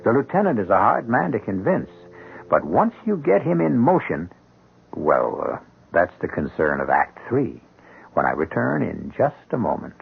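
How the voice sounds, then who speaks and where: Low, gravelly mystery show host. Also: male voice, big pitch swings, a little hiss or hum. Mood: ominous. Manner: deliberate, ominous, dramatic phrasing. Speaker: host and narrator. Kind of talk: theatrical scripted radio mystery narration. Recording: muffled 1970s radio broadcast.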